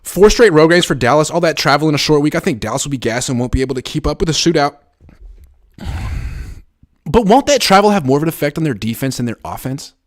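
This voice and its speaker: A young man hosting a sports call-in show, riffing in an animated, profane, jokey way and reading out chat messages. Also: fairly high pitch, fast. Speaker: host.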